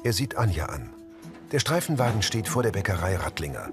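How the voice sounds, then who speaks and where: A man's voice: on the low side, sing-song, brisk, music in the background. A man reading scripted audio description narration for a TV series.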